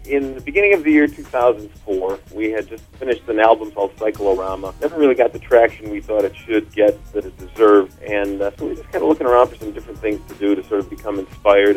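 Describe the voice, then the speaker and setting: A man with a Chicago accent talks fast as a guest on a radio interview, rolling from one anecdote into the next. His voice sits at an ordinary middle pitch, and faint music plays behind him. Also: low-quality recording. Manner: rambling anecdotal, brisk. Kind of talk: radio phone interview, storytelling.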